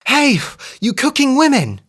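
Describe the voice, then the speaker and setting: A storyteller voicing a wily female hare who sweet-talks and tricks others, in a raised, lively voice. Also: mid-range voice, slow, voice pushed up. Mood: urgent, cheeky. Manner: sweet-talking, sly, bright and loud.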